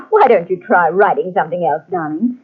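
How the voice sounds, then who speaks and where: A rich, breezily condescending wife in a vintage radio play. Her theatrical voice is slightly husky and moves widely in pitch. It comes through a tinny, narrow old recording. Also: animated, normal speed. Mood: amused, dismissive. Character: wealthy, breezily dismissive wife who backs plays. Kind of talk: old-time radio mystery acting. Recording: tinny, band-limited old radio cassette transfer.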